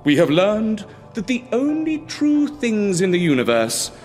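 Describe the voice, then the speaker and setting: A man voicing a sly, flattering fox with theatrical, smooth talk in a children's storybook. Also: high voice, a little slow, soft background music, voice pushed up, heavily acted. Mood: unctuous, preachy.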